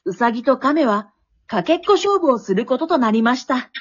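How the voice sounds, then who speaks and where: A woman playing an excitable, wisecracking storyteller, narrating a comic radio drama like play-by-play with dramatic flair. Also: high voice, normal speed, husky. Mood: excited, suspenseful. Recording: dull, lo-fi recording.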